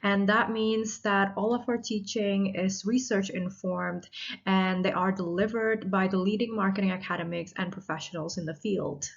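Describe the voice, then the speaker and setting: Woman, thirties, university lecturer, measured and explanatory, laptop mic, somewhat muffled audio. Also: mid-range voice, steady tone, vocal fry. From Indonesia. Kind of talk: recorded online lecture. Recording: slightly dull lo-fi audio.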